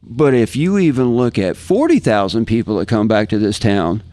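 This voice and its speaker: Enthusiastic low-voiced American man. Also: expressive, normal speed, dark tone, no room sound, studio quality. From Kansas, United States. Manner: enthusiastic, repeats phrases for emphasis. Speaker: guest, professional guitarist. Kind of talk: casual podcast chat, reminiscing.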